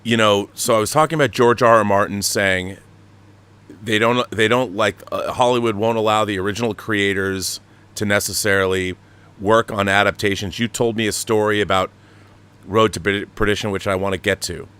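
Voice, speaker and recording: A man's voice: fairly low pitch, steady tone, moderate pace, a bit bright, clean tone. A man hosting a live talk show, giving emphatic, opinionated commentary with rhetorical questions.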